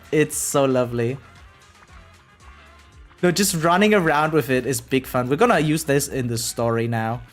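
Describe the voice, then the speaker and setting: An excitable variety streamer with a mid-range voice and very lively, swinging intonation. The talk is casual live commentary, slangy and sweary, addressed to viewers, with music faintly behind it. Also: medium pitch.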